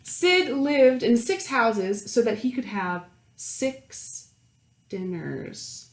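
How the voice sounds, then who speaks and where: A woman reading a picture book aloud to young children, expressive, with questions and asides to her listeners. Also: a little high, drawn-out words. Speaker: children's storytime host and story reader. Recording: roomy, echoey indoor sound.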